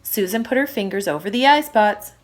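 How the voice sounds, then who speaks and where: Lady reading a kids book out loud, really animated pitch, teacher style, stresses words for little ones. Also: brisk. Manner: expressive, emphatic phrasing for young children. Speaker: primary school teacher reading aloud. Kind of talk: children's storybook read-aloud.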